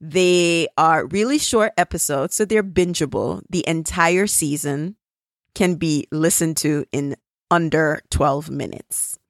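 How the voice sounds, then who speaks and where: Podcast host, Jamaican woman, earnest casual appeal, slight vocal fry. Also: deep voice, slow, no room sound, studio quality. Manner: earnest, grateful appeal for support. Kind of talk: casual solo podcast update.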